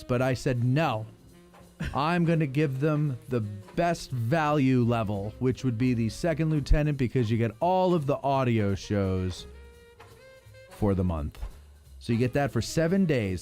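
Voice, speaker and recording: A man's voice: medium pitch, dark tone. A man hosting a podcast, chatting casually and ad-libbing promotions in a jokey, mock-announcer way. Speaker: podcast host. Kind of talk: casual ad-libbed promotional chat.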